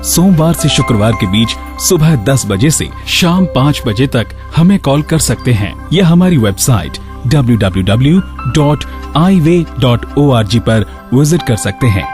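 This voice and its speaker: A man hosting a radio programme, reading scripted narration and instructing listeners in a deliberate way. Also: mid-range voice, sing-song, darkish tone. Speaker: radio show host. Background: music bed.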